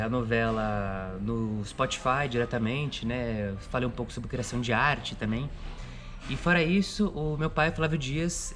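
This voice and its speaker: A man's voice: medium pitch, a bit bright, slight background noise. A Brazilian man, a radio drama creator, explaining his work in conversational interview talk. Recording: music behind the voice.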